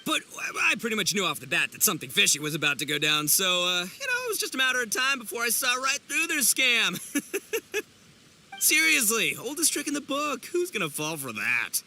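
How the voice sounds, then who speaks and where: A man's voice: sits high, very animated, moderate pace, pushed and bright, heavily acted. An actor playing a brash, gullible, overconfident young oni man in a video game, with boastful, theatrical, slangy delivery. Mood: smug. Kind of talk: animated video game voice acting.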